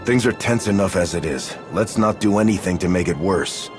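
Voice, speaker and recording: A man's voice: low voice, normal speed, natural voice. A man playing a principled military leader in a video game cutscene, direct and serious. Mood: urgent. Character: principled male military leader, firm but caring. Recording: loud music behind. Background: music.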